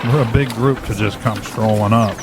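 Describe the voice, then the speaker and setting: An actor playing a steady, determined man guiding a group, practical and earnest, in an audio drama. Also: lowish voice, moderate pace, heavy background noise, lightly acted. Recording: music and birdsong behind.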